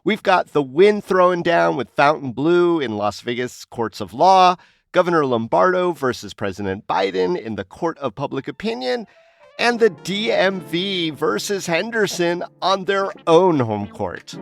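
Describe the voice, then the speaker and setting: A man's voice: fairly high pitch, lively intonation, measured pace, vocal fry, soft background music. A man co-hosting a news roundup podcast, moving between scripted intros and conversation. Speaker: podcast co-host.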